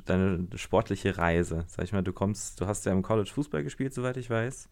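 A man's voice: low-pitched, moderate pace, darkish tone. A young man hosting a casual podcast interview, talking hesitantly with many fillers and restarts. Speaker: podcast host.

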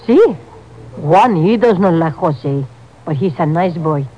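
A woman's voice: on the low side, lively intonation, measured pace, mellow, lo-fi sound. An actress playing a wary, hardworking Mexican mother in a 1950s radio drama, speaking emphatic broken English with a Mexican Spanish accent. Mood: earnest. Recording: old radio drama with music.

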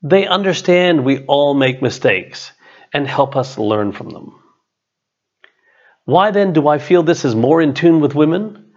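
A middle-aged man, an author and coach, reads his own written text as scripted narration in a measured, deliberate way. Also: a little high, animated, muffled recording. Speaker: author, business and leadership coach.

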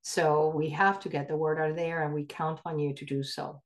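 A woman with a fairly low voice speaks as a podcast co-host. Her delivery is earnest and emphatic, unscripted, with halting pauses in the middle of sentences. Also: little pitch movement. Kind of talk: earnest unscripted advocacy talk.

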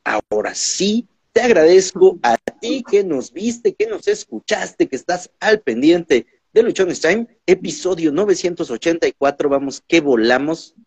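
Husky Mexican man hosting a podcast. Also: on the high side, expressive, unhurried, hardly any pauses, a little mellow, no echo. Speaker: podcast host. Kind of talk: casual interview chat. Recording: dull lo-fi sound.

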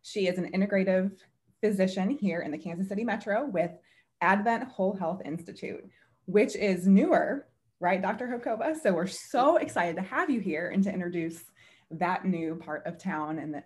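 A woman's voice: on the low side, moderate pace, a little mellow. A woman co-hosting a podcast, introducing the guest in an upbeat way and laughing often. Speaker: podcast co-host. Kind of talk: casual podcast intro and interview.

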